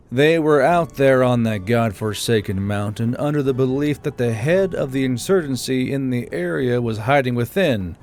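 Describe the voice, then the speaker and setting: A man's voice: mid-range voice, darkish tone. A man reading scripted military fiction as an audiobook narrator.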